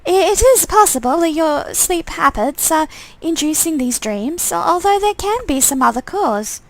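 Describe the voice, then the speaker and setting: A woman reads the part of a hesitant doctor in a story game, high-voiced and a little creaky. The delivery is clinical but unsure, stumbling through explanations, with a very dry sound. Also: expressive, normal speed, no echo, low-quality recording. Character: hesitant, businesslike doctor examining a patient.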